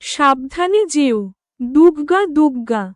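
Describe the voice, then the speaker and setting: Woman playing a high-voiced, worried village wife. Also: expressive, slow, dry recording, clean studio sound. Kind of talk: cartoon dialogue.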